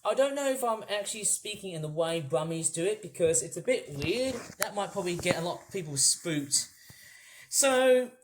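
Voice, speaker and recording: A woman from England whose accent blends British and Australian, mostly Australian, talking in a meandering, filler-heavy vlog style as she tidies up. Her voice sits fairly low for a woman and has a slightly shrill, nasal edge. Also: animated, normal speed, a little hiss or hum, rough recording. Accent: mixed British and Australian, mainly Australian. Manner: rambling, many fillers and restarts, talks while cleaning. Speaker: vlogger. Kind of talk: casual rambling vlog chat.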